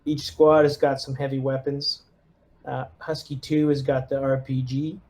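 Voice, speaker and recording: A man with a mellow, medium-pitched voice speaks as a player in a tabletop roleplaying game. He is casual and a little hesitant, thinking aloud as he goes. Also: level tone, moderate pace. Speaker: tabletop player playing a military lieutenant.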